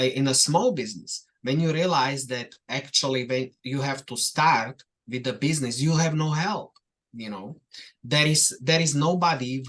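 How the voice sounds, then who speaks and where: A man's voice: medium pitch, a bit bright. Hesitant man on a podcast. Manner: hesitant, many "uh" fillers. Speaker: business coach. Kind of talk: casual podcast conversation.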